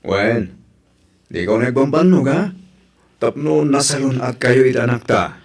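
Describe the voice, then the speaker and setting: Guy acting young fiancé in a family radio drama, husky voice, kinda evasive and hesitant. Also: mid-range voice, animated, unhurried. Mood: gently reassuring. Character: evasive young man engaged to a pregnant woman.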